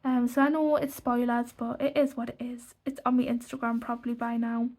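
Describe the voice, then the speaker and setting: A woman's voice: on the high side, flat delivery, a little fast, darkish tone. A young woman, stuffy from a cold, chatting casually through a colouring book flip-through with lots of "like" fillers. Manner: rambling, many "like" fillers and restarts. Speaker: colouring channel host.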